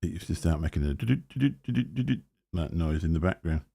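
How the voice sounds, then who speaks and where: A man with a very low, booming voice and a gravelly fry running under his words. His tone is dark and a little husky. He chats in a loose, rambling way on a live stream, talking into a desk microphone. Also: expressive, fast. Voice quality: deep booming voice. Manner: rambling, chatty, chuckling to himself. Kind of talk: casual live stream chat. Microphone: desk microphone through a mixer.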